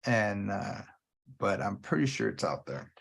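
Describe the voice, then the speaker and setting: A man's voice: on the low side, very animated, unhurried, vocal fry, husky. A man hosting his own movie podcast alone, talking off the cuff in a loose, rambling way and swearing freely. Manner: casual, unscripted, profane, jumps between thoughts. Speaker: podcast host. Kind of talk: rambling solo podcast talk.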